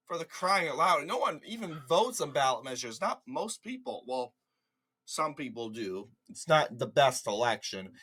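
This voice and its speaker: A congested man with a somewhat high, cracking voice from a cold talks casually over his screen. He is mocking and dismissive, stumbling and restarting words as he goes. His voice is close on a desk mic. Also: a bit bright. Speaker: political commentary host. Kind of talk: casual screen-share commentary.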